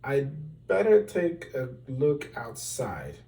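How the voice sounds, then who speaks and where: Man reading as a fretful old bell ringer, slow. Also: medium pitch, huge pitch swings, creaky, warm tone, far from the mic, exaggerated voice. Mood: puzzled. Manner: murmuring, gulping, alarmed.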